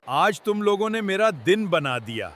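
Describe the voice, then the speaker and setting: A man plays a friendly cartoon vegetable with a high voice that swings wildly up and down. He is helpful and expressive, offering little morals over faint music. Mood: warm, earnest. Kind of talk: children's cartoon moral story dialogue.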